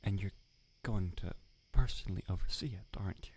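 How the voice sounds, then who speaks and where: A man's voice: low voice, moderate pace, a little mellow, husky. A man playing a prickly, irritable village swordsmith, in whispered, sarcastic roleplay ASMR. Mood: placating. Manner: whispered, exasperated, sarcastic scolding. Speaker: ASMR voice actor.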